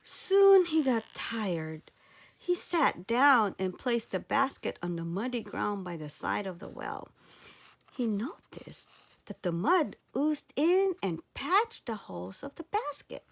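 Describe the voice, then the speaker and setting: A woman reading a children's storybook aloud with expressive narration. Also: a little high, slow pace, vocal fry. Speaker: storybook reader.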